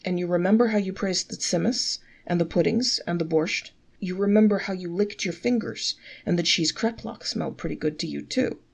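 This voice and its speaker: A woman's voice: lowish voice, normal speed, vocal fry, few pauses, a little mellow, husky. A woman reading a story, voicing a shrewd male innkeeper who talks in a wheedling, mock-innocent way. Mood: persuasive.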